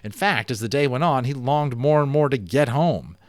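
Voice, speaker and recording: A man reading a children's novel aloud at home, telling it expressively and dropping low and soft at the grim moments. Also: moderate pace, no echo. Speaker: amateur voice actor and audiobook reader.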